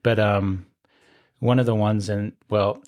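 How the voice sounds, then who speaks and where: A man's voice: on the low side, fairly even intonation, takes it slowly, darkish tone. Easygoing man co-host from Scotland. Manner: easygoing, agreeable, short replies. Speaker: podcast co-host. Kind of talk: casual chat about a TV movie.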